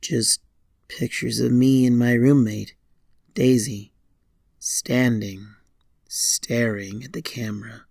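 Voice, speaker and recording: A man reading a scripted first-person horror story in a deliberate way, pausing between short phrases. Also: medium pitch, vocal fry, darkish tone, breathy edge, dry recording. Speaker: fan fiction narrator.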